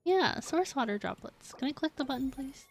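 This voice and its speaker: A woman's voice: sits high, animated, normal speed, vocal fry. A woman chatting casually and playfully on a gaming livestream, giggly and given to exclamations. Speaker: game live streamer.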